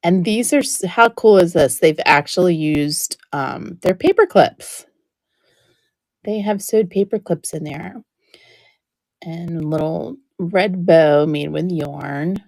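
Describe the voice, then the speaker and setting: A woman hosting a live-stream auction speaks at an unhurried pace, her voice sitting a little low. She keeps clearing her throat as her voice gives out. The sound is dry and close. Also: darkish tone, dry recording. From New Jersey. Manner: chatty, describing items up close.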